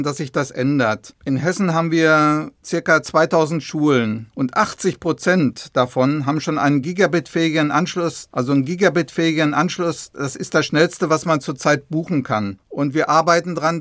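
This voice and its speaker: Higher-voiced man explaining things simply. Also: fairly bright tone, dry recording, clean studio sound. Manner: patient, plain explanations with simple examples. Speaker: government official, expert guest. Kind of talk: explaining to children in an interview.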